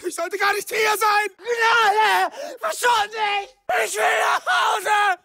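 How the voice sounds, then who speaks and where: A man's voice: very high-pitched, slow pace, creaky, raised voice, a loud crowd, heavy background noise, exaggerated voice. A male actor playing a panicking, despairing German soldier in a war video game, screaming and pleading in anguish. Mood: pleading, terrified. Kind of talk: shouted video game battle voice lines.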